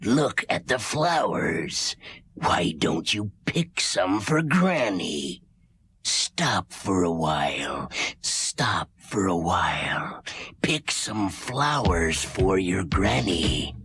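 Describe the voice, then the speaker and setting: Very deep, raspy wolf. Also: very animated, very slow, a bit bright, no echo, full character voice. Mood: coaxing. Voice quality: raspy, gravelly. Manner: wheedling, falsely polite. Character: sly big bad wolf, sweet-talking villain. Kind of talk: children's musical storybook acting.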